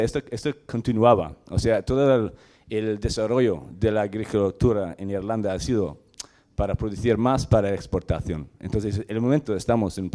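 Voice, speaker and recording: A man from Ireland, a farmer, giving a conference talk in a language that is not his own, halting and searching for words. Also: lowish voice, warm tone. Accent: Irish. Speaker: farmer and panel speaker.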